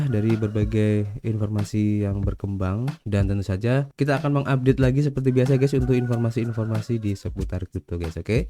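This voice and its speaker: Fast-talking stuffy-nosed Indonesian man. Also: on the low side, warm tone, a little hiss or hum. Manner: chatty, adds "ya" and "guys" to most lines. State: stuffy nose from a cold. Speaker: crypto news channel host. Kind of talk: casual crypto news roundup.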